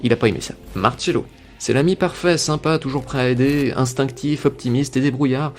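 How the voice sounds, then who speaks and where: A man's voice: medium pitch, brisk, over music. A man reading a scripted video review as narrator, with a wry, tongue-in-cheek manner.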